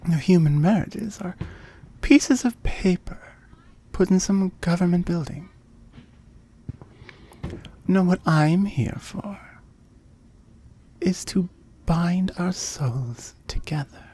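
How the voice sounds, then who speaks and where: A woman's voice: lowish voice, takes it slowly, pauses often, dark tone, breathy edge. A woman playing a seductive, possessive immortal oni demon in an ASMR roleplay, often whispering, teasing and commanding. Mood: matter-of-fact, teasing.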